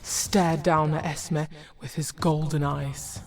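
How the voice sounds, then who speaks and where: A woman reading scripted fantasy narration in a measured storybook manner. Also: sits low, flat delivery. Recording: loud music behind.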